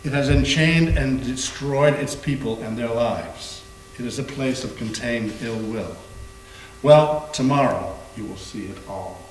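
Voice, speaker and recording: A man playing an erudite investigator of haunted houses in a staged reading, telling his ghost story gravely with deliberate pauses. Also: mid-range voice, lightly acted. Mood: ominous storytelling. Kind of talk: staged readers theater performance. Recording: echoey room with faint hum.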